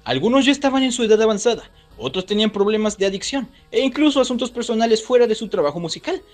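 A man's voice: high-pitched, lively intonation, quick pace, soft background music. A man hosting a video with casual scripted commentary, chatting directly to his viewers. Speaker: YouTube host.

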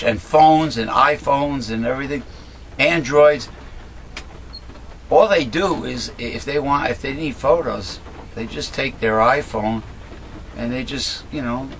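A middle-aged man with a raspy voice, talking casually to a webcam from a small cluttered room at home, rambling with many fillers and restarts as he airs blunt opinions. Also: mid-range voice, fairly bright tone, a little hiss or hum, rough recording. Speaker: host of a political commentary channel. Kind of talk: casual monologue, talking to camera.

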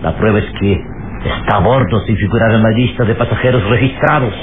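A man's voice: fairly low pitch, a little fast, natural voice. An actor playing a scheming, alarmist male accuser in a radio serial, speaking insistently and dramatically on a scratchy, tinny old recording. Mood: grave warning.

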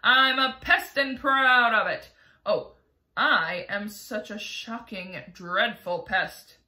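A woman's voice: medium pitch, slow, bright, forceful tone. A woman voicing a boisterous, cheeky male centipede who is brash and gleefully proud of being a pest.

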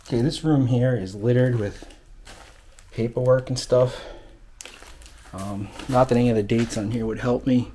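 A man's voice: mid-range voice, steady tone, moderate pace, long pauses, dark tone, slight background noise. A man giving a casual, understated, matter-of-fact walk-through as an exploring vlogger. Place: indoors, inside an abandoned old house.

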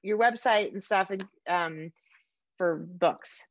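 A middle-aged American woman hosting a casual interview over a video call, effusive and quick to poke fun at herself. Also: mid-range voice, no echo, lo-fi sound. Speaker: podcast host interviewing an author. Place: book-lined room at home, indoors.

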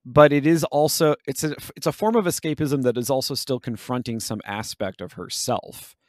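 A man's voice: mid-range voice, moderate pace, no room sound. Analytical man with close, dry sound. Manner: reflective, academic wording. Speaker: podcast host. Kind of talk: casual analytical discussion.

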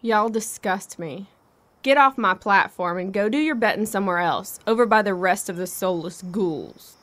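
A woman's voice: mid-range voice, moderate pace, fairly bright tone, lightly acted. An actress playing a grimy, scornful woman who loiters at a railway station, in an audio horror drama.

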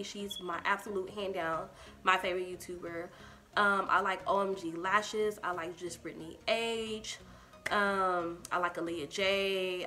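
A woman's voice: lowish voice, sharp tone. A young woman from Texas with a Liberian accent, chatting through a get-to-know-me Q&A with lots of ums and giggles, filmed indoors in a small room. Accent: Liberian English. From Texas, USA. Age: young adult. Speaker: YouTuber.

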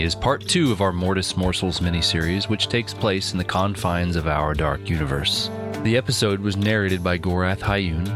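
Deep-voiced man hosting over music. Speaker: host giving outro and credits. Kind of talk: scripted dark fantasy podcast narration.

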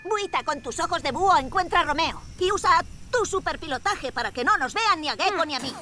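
A woman's voice: extremely high pitch, expressive, fairly quick, a little hiss or hum, full character voice. A woman voicing a brave, bossy young boy superhero in a cartoon dub, urgent and commanding, with a European Spanish accent. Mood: determined. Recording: loud music behind.

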